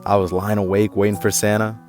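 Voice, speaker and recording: An actor playing a defiant, streetwise young activist in an audio drama, with a sardonic, informal way of talking. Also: male voice, fairly low pitch, level tone, fast talker, over music, natural voice. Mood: reminiscing. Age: young adult.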